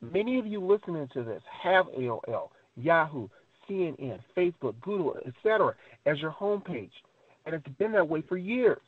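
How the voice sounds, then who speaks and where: A man presenting a motivational network marketing pitch over a conference call, speaking emphatically in a repetitive, preacher-like cadence full of rhetorical questions. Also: sing-song, brisk, slightly rough. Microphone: telephone conference call. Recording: tinny narrow phone line.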